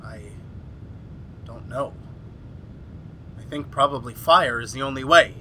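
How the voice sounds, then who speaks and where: A man plays a resolute, agitated scientist in a 1930s-style horror radio drama. The voice is medium in pitch with a sharp, forceful edge and dramatic emphasis, recorded over faint rumbling noise. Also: a little slow. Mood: grave, urgent. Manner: dramatic, exclamatory. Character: earnest, agitated male scientist doctor, grimly resolute.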